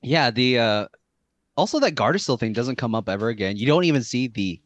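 Halting man hosting a podcast. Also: mid-range voice, expressive, no room sound, lo-fi sound. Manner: halting, many fillers and restarts. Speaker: podcast host. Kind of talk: casual chat.

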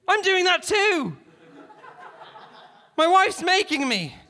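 A man playing a desperate, unhinged middle-aged school janitor holding hostages in a comic story, yelling in a strained voice. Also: extremely high pitch, sing-song, takes it slowly, in a large hall, pitched above the performer's own voice, heavily acted. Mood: exasperated.

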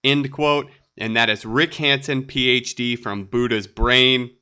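A man's voice: mid-range voice, fairly even intonation, unhurried, sharp tone, smooth. A man hosting a solo podcast, sounding nasal and congested, upbeat and chatty with light laughs. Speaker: podcast host.

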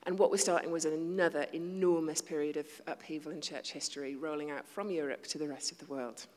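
A woman preaching a sermon to her congregation, talking conversationally, poking fun at herself, and dropping into hushed asides. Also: low-pitched, steady tone, brisk. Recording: long hall reverb.

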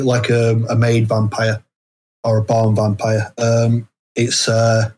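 A man answers questions as a guest author in a casual interview. His voice sits at a middling pitch. He talks haltingly, often restarting phrases as he works out what he means. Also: dark tone. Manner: halting, hesitant phrasing with repeated false starts.

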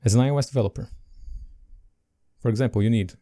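Male podcast co-host, non-native English, mellow tone, animated delivery. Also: medium pitch, fast. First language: not English. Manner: animated, laughs between points. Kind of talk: casual podcast chat.

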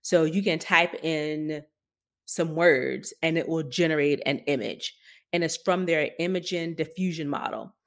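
A woman's voice: sits low, unhurried. A woman co-hosting a podcast, giving conversational, emphatic and skeptical commentary on tech news. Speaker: podcast co-host.

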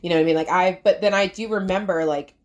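A woman's voice: on the low side, fairly even intonation, fast talker, darkish tone, highs cut off. A woman from Albany, New York, hosting a podcast in casual conversation, rambling with lots of "like" fillers. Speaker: podcast host.

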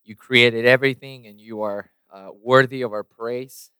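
A man's voice: medium pitch, steady tone, moderate pace, sharp tone, clear, resonant tone, no room sound, poor audio. A man preaching a sermon and praying, losing his voice a little, with many fillers and restarts. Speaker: pastor. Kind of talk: sermon with prayer.

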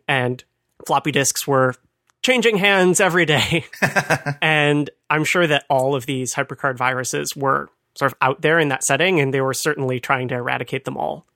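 A man's voice: on the high side, normal speed, bright, forceful tone, no echo. A man co-hosting a conversational talk, explaining things with dry amusement. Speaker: podcast host. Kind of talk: conversational retro computing commentary.

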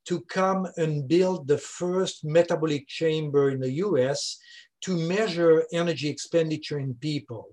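An older man from Switzerland with a Swiss French accent, a scientist explaining his research in an interview, with many "uh" pauses. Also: fairly high pitch, a little mellow. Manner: explanatory, frequent "uh" fillers. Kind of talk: conversational interview.

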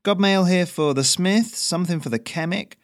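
A man voicing a cheeky young male courier who rides a messenger goose, talking in a breezy, teasing way. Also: moderate pace. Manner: breezy, teasing, high-spirited.

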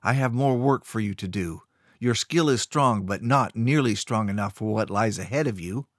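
A man voicing a sinister, scheming male rune master who speaks smoothly but with a cold, threatening edge. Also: on the low side, moderate pace, dry recording, clean studio sound, close to an everyday voice. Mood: cold commanding.

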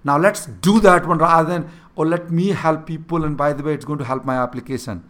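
A man's voice: on the high side, fairly quick, a bit bright. A man with an Indian accent, Hindi his first language, earnestly coaching a younger person on leadership and repeating his key points. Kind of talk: mentoring conversation.

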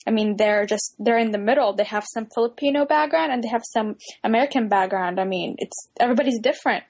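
A woman's voice: on the high side, fast talker, a little mellow. A woman in a casual discussion, earnestly reasoning out her point. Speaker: panelist.

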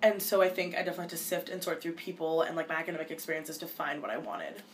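A young woman from Minnesota talks quickly in a somewhat low voice, piling up restarts and filler likes as she answers an interviewer. A faint hum sits behind her in a quiet room. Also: lively intonation. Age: 20s. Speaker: college student interviewee.

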